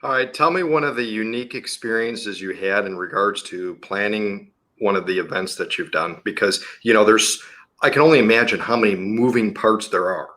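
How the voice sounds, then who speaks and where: A man hosting a talk radio interview show, chatty and energetic, plugging the show's website between questions. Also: lowish voice, normal speed.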